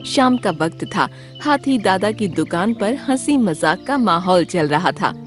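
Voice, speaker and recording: Lively woman narrating a children's story. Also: medium pitch, a little slow, faint music behind.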